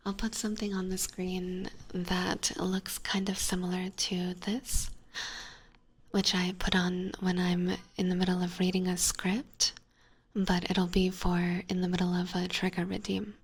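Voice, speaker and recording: A young woman streaming ASMR, whispering softly into a close microphone as she chats with viewers. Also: lowish voice, monotone, a little slow, husky. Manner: soft whisper, reading and answering chat. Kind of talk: whispered ASMR livestream chat.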